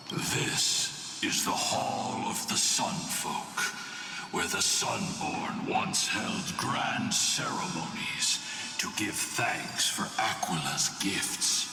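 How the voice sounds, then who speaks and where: An actor playing a proud, stern ancient warrior spirit in a fantasy game, speaking in a grave, haughty, declamatory way. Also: male voice, deep voice, noisy background, full character voice. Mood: solemn. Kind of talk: scripted fantasy game acting. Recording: cavernous echo, distant, loud music behind.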